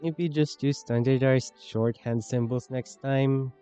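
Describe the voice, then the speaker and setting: Very low-voiced woman game streamer. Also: fairly even intonation, slow, warm tone. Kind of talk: casual live stream, reading dialogue aloud. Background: faint game music.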